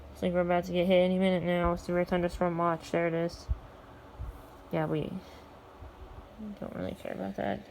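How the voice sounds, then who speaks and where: A woman's voice: lowish voice, little pitch movement, moderate pace, creaky, pauses often, darkish tone, slight background noise, low-quality recording. An American woman in casual vlog chat, talking in an offhand way with muttered asides. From United States.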